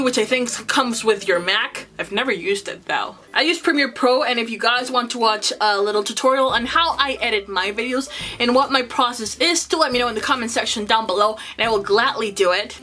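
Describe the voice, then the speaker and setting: A woman's voice: a little high, brisk, bright, forceful tone. A young woman with a Spanish accent, a native Spanish speaker, giving earnest, encouraging advice in a vlog to the camera. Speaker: booktuber.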